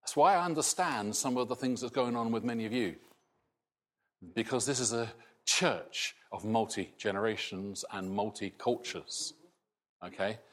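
A middle-aged man raised in Scotland, with a softened Scottish accent, preaching a sermon in a slow, halting way with many restarts. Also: fairly low pitch. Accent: Scottish, largely softened. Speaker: pastor.

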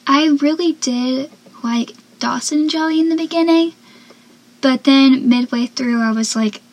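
A seventeen-year-old girl with a soft, young-sounding voice, chatting casually as a podcast host and dropping into whispered asides. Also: high-pitched, unhurried, slight background noise. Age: teens. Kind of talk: casual solo podcast chat.

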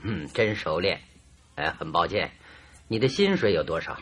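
A man's voice: deep voice, animated, normal speed, breathy edge. An actor playing a genial, courteous, inquisitive male detective in a radio drama, musing politely. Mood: courteous, curious.

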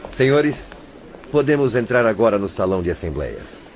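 An actor with a Brazilian accent playing a pious, courteous hospital attendant in a radio drama, speaking formally and preachily. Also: male voice, on the low side, big pitch swings, moderate pace. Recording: dull lo-fi radio recording, faint noise.

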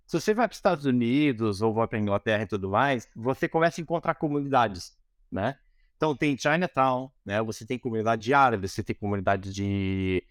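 A man's voice: mid-range voice, dry recording, studio quality. A man from Brazil with a Brazilian accent answers as an interview guest, explaining things conversationally and often checking in with small tag questions. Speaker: interview guest, product design manager.

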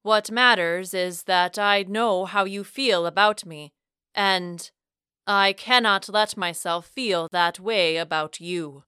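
A woman voicing a reserved, sheepish but earnest man who speaks deliberately and formally in audiobook dialogue. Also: medium pitch, sharp tone, clear, resonant tone, dry recording, studio quality. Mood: earnest, pained. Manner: deliberate, formal wording without contractions. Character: reserved, sheepish, earnest man pushing away his love.

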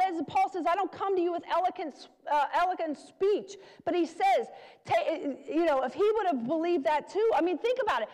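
A woman's voice: very high-pitched, a little mellow. A woman preacher giving a sermon, urging her listeners and repeating key phrases for emphasis. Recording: long hall reverb.

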